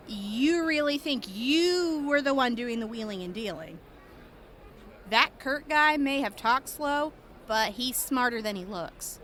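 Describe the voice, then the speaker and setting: A woman's voice: fairly high pitch, expressive, takes it slowly, fairly bright tone. A woman playing a fiery, blunt young female traveler in a post-apocalyptic audio drama. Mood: teasing. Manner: blunt, combative, teasing.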